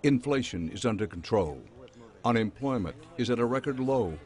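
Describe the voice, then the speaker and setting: A man reporting a documentary news story in a measured, scripted voice-over. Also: mid-range voice, expressive, a little mellow.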